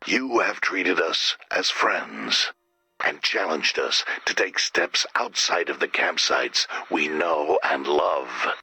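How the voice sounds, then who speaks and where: Menacing deadpan game robot, low rough voice, super literal and ominous. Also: male voice, no room sound, exaggerated voice. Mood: solemn. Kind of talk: scripted video game voice acting.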